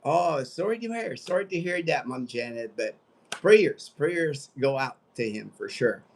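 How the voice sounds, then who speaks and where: A man's voice: medium pitch, expressive, measured pace, slight background noise. A man in his late fifties with an American accent, chatting casually and ramblingly as a livestream host, often repeating his phrases. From United States. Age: late 50s. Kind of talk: casual livestream chat, reading out viewer comments.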